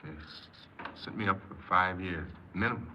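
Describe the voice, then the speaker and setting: A man's voice: low-pitched, normal speed, close to an everyday voice. An actor playing a bitter, downcast young American ex-convict recounting his life plainly in a 1950s radio drama. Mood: resigned. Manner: plain-spoken, clipped, glum. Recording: noisy, muffled 1950s radio recording.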